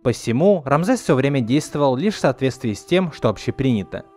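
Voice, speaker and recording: A man's voice: medium pitch, expressive, a little fast, darkish tone. A man narrating a scripted video essay as a reviewer, speaking in an earnest, opinionated way. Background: background music.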